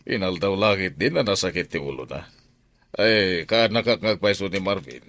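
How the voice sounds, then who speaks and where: Deep-voiced, grumbling Ilocano uncle. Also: male voice, expressive, moderate pace, fairly bright tone, no room sound. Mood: scornful, complaining. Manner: grumbling, blunt. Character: gruff uncle, complaining employer with a farm garden. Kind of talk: Filipino radio drama acting.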